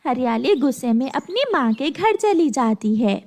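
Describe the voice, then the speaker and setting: Female children's story narrator; high pitch, animated, scripted storytelling. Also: measured pace.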